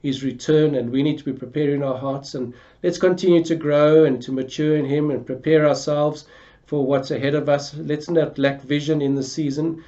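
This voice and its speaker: A man's voice: on the high side, steady tone, normal speed, mellow. A man, a pastor giving an earnest church announcement to camera from an armchair at home. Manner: earnest, repeats words when restarting. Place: home living room, seated in armchair. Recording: dull lo-fi home video sound.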